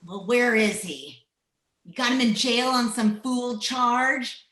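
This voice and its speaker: A woman plays a bitter older ranch woman in an old-time radio western. Her voice is sharp and fairly high, and she talks slowly and bluntly in a folksy way. The room echoes around her. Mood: impatient, demanding. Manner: blunt, folksy rural speech. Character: bitter, mean older rancher's wife, vengeful. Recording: roomy, echoing home video-call recording.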